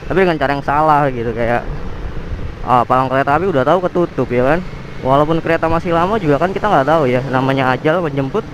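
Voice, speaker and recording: Young man, nasal cold voice, rambling slangy motovlog talk, loud traffic noise. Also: on the high side, fast talker. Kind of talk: casual vlog narration while riding. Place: on a motorcycle in city traffic. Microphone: helmet or action cam mic.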